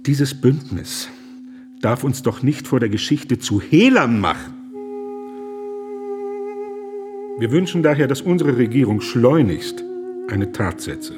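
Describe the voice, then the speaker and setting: A man in a radio drama playing an earnest German pastor who argues gravely, formally and with emphatic indignation. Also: mid-range voice, lively intonation, a little slow, over music, close to an everyday voice. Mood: insistent, demanding.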